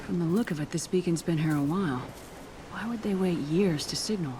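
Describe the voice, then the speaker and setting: A woman's voice: fairly low pitch, brisk, background noise, natural voice. A woman playing a cool, direct military commander in a video game, businesslike and questioning.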